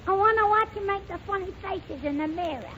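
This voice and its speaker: A woman's voice: very high-pitched, fairly quick, bright, forceful tone. A woman playing a naive, stubborn, inquisitive little girl with childish wheedling questions in 1930s radio comedy. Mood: nosy, innocent. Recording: noisy muffled 1930s radio recording.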